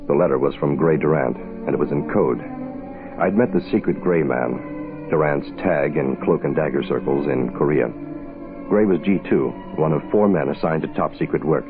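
A very deep-voiced American private eye from a 1960s radio drama. He is cool, wry and laconic, with a deadpan delivery. The sound is thin old radio with music behind. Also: normal speed, phone-quality sound. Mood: cool, brooding. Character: cool, wry hard-boiled male private investigator. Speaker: lead actor and narrator. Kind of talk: 1960s radio detective drama acting, first-person narration.

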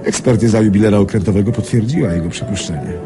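Smug swindler played by an actor, music behind. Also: male voice, fairly low pitch, fast talker, close to an everyday voice. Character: smug, sly male card-sharp swindler. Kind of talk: radio drama acting, storytelling.

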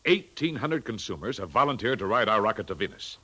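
An actor in a 1950s radio drama playing a hearty, domineering older agency boss with a paternal, declamatory manner. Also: male voice, fairly low pitch, expressive, fairly quick, sharp tone, breathy edge, heavily acted. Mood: hearty, urgent.

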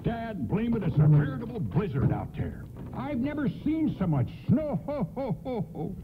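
A man's voice: high voice, huge pitch swings, normal speed. An actor in a radio play playing a good-natured, blustery, folksy department store Santa who repeats his phrases. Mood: jolly bluster. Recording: lo-fi, tinny, noisy radio broadcast.

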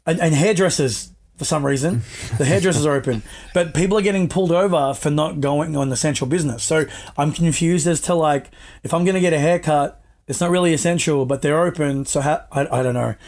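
A man's voice: on the high side, fairly quick, darkish tone. An Australian man in his thirties, a guest chatting casually over a video call, rambling with lots of fillers. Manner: laid-back, rambling with many fillers. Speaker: podcast guest, wrestler and personal trainer. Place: small home room indoors. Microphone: video call, earphone mic.

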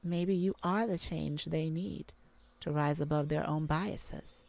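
A woman playing a caring, affectionate mage gardener in a gentle ASMR roleplay audio drama. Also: deep voice, fairly even intonation, takes it slowly, vocal fry, heavily acted. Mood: reassuring, proud. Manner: affectionate, gentle, full of endearments. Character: tender, caring mage gardener devoted to their werewolf mate.

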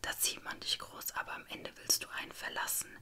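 A woman's voice: moderate pace, sharp tone. A woman voicing a cruel, spiteful old grandmother in a menacing whisper for a story. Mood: hateful anger.

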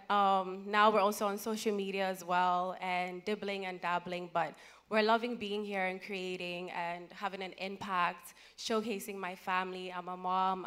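A woman's voice: medium pitch, fairly bright tone, clean tone. A woman in her thirties from Ghana, a guest on a conference panel, talking in a chatty, self-deprecating way into a handheld mic. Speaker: panel guest, content creator. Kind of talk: conversational panel talk. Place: large conference stage.

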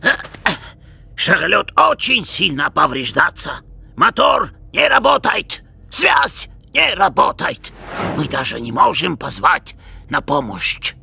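A blunt, excitable cartoon penguin inventor. The high voice is very bright and gravelly, with halting delivery. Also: male voice, slight background noise, exaggerated voice. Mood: alarmed. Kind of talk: animated cartoon character acting.

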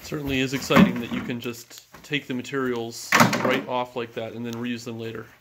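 A man's voice: mid-range voice, level tone, brisk. A man off camera asking curious, prompting questions during a building demonstration. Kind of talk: casual questions during a demo. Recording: loud background noise.

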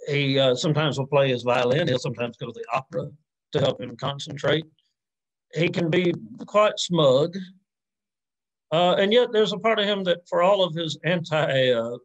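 Male academic, forties, mid-pitched voice. Informal Q&A delivery, hesitant, reworks sentences as he goes. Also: low-quality recording. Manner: hesitant, thinking aloud, often rephrasing mid-sentence. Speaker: academic lecturer answering audience questions. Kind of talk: informal literary Q&A talk. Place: indoors on a video call.

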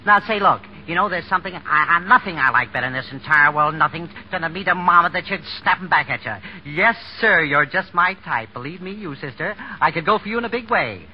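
Man acting brash high-pitched wisecracking masher, old radio. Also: animated, a little fast, close to an everyday voice. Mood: amused, pushy. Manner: slangy, boastful, repeats catchphrases. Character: brash, pushy, wisecracking male bus masher. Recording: noisy muffled 1930s radio broadcast.

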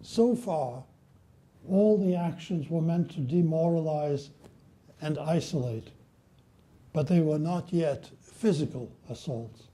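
Older man, very dark and mellow tone, slightly high pitch, solemn measured lecture at a lectern. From Poland. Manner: measured, solemn, reads quotations slowly. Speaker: guest lecturer. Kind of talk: prepared history lecture. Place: library lecture room with audience. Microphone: at a lectern, filmed by a far camera.

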